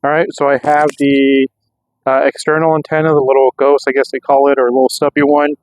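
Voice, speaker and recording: A man's voice: medium pitch, little pitch movement, a little fast, a little mellow, rough recording. An American man talking casually and off the cuff as a vlogger testing gear, rambling and thinking aloud. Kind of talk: casual unscripted vlog narration. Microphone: clip-on microphone.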